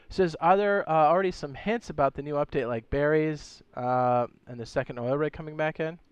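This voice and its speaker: A man streaming a game, chatting casually and reading viewer comments, hoarse with a sore throat and losing his voice, often clearing his throat. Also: fairly high pitch, normal speed, long pauses, no room sound, muffled recording. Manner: laid-back, slangy, frequent throat clearing.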